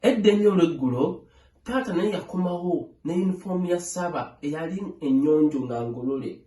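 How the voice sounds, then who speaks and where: A man narrating a children's story aloud in a measured, phrase-by-phrase read-along style. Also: on the high side, dark tone. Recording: echoey room.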